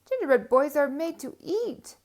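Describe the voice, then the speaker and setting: A woman's voice: very animated, normal speed. A woman voicing hungry farm workers in a storybook, calling out urgently. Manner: loud, high calling.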